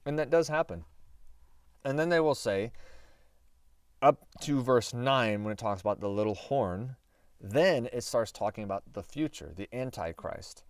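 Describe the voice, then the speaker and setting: A male host teaching Bible prophecy in a casual, rambling way. He corrects himself as he goes, and his voice is slightly hoarse. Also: medium pitch, expressive, unhurried, no echo, studio quality, far from the mic. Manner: chatty and rambling, with self-corrections and asides.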